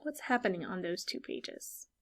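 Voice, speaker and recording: A woman teacher doing an interactive read-aloud for young children, expressive and instructive, stressing key words and pausing after questions. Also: lowish voice, vocal fry.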